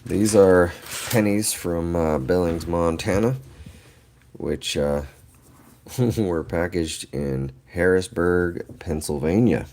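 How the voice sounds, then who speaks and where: A man's voice: deep voice, slow pace, a little mellow. A middle-aged man with allergies, chatting casually and rambling to viewers on his livestream, laughing often. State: allergies, voice lower than usual. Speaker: livestream host. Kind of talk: casual live chat with viewers.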